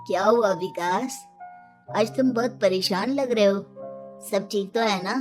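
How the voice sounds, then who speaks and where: A woman's voice: medium pitch, unhurried, music in the background, close to an everyday voice. A woman voicing a helpful, confident young man, the hero's friend, in a children's cartoon. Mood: concerned. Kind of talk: Hindi children's cartoon dubbing.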